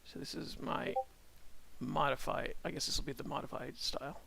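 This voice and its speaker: A middle-aged man chatting casually on a live stream while he draws, thinking aloud in a calm voice with halting restarts. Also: medium pitch, creaky, a little hiss or hum. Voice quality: calm-sounding voice. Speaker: streamer and animator.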